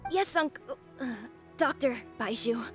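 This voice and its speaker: A woman's voice: high-pitched, expressive, unhurried, music in the background. A woman voicing a young boy in a video game, polite, earnest and a little hesitant. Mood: curious, hesitant. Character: young boy, polite, earnest and anxious about his father.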